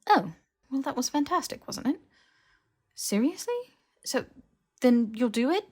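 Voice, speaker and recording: A woman's voice: high voice, very animated, a little slow, slightly rough, pitched above the performer's own voice, exaggerated voice. A woman voicing a flippant, defensive young man who blurts and stammers, in a fiction audiobook. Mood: eager. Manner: stammering, protesting.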